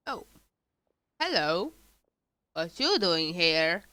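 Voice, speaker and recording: A woman's voice: on the low side, very animated, extremely slow, pushed and bright, clean tone, no echo. A woman doing casual live-stream commentary, reacting to a video game as she plays.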